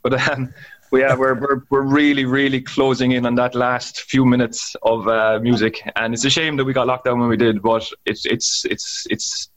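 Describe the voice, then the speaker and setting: A man co-hosting a podcast, his voice a little husky after band practice. He talks in a relaxed, loose way and swears casually. His pitch sits in the middle range. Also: normal speed, a little hiss or hum, low-quality recording. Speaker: podcast co-host and band musician.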